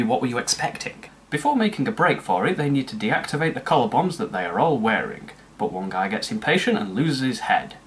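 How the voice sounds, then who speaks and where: A man's voice: mid-range voice, slight background noise. A man narrating a comedic scripted film review with sarcastic, mocking asides. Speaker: movie reviewer.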